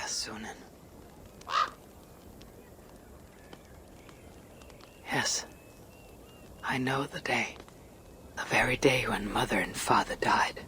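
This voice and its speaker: A woman playing a bold Norse warrior and raider in a video game, speaking in a measured, blunt, grave way. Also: very deep voice, a bit bright, slight background noise. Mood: brooding, quiet. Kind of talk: video game cutscene acting.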